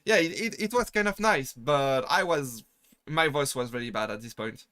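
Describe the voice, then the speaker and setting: A man with a French accent, chatting casually on a live stream and rambling through halting restarts and self-deprecating asides. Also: medium pitch, pushed and bright, dry recording. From France. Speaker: VTuber streamer.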